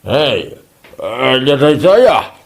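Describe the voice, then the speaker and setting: A man's voice: medium pitch, sing-song, extremely slow, full character voice. A man with an Ilocano accent playing a weary husband of a complaining woman in an amateur comedy drama. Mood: weary, exasperated.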